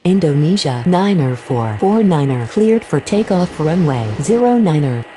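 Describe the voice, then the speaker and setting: A woman's voice: sits low, expressive, measured pace, mellow, a little hiss or hum. A woman voicing a businesslike airline pilot and controller in a game, giving clipped, matter-of-fact radio calls.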